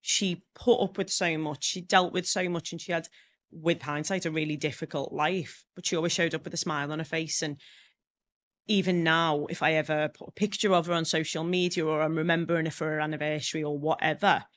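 A woman with a Liverpool accent, a public speaker and trainer, telling her story as a guest in a podcast interview. She speaks as a lively storyteller and acts out the voices of people she quotes. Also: low-pitched, a little fast. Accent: Liverpool (Scouse). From Liverpool, England.

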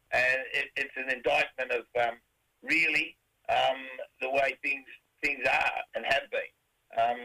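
A middle-aged man with an Australian accent and a raspy voice, bright and edgy in tone. He speaks at an ordinary mid pitch and talks his way through his answers casually, stalling on fillers and restarting his sentences. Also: low-quality recording. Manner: rambling, many fillers and restarts. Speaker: rock band singer.

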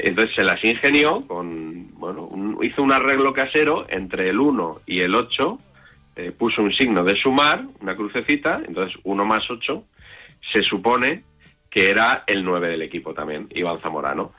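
A man's voice: low-pitched, lively intonation, normal speed, pushed and bright, faint music behind. A man hosting a football radio show, telling anecdotes in a wry, digressive way. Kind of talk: casual sports radio chat.

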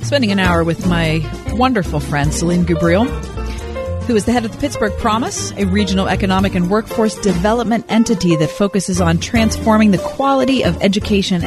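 A woman with a lowish voice hosts a radio interview, warm and encouraging, with short replies like "mm-hmm". Music plays underneath. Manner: warm, encouraging, brief backchannels. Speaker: radio talk show host.

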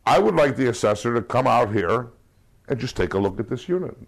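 A man's voice: medium pitch, sing-song, brisk, vocal fry. A man interviewed for a news report, speaking about a hardship with indignant, wry frustration. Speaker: interviewed property owner.

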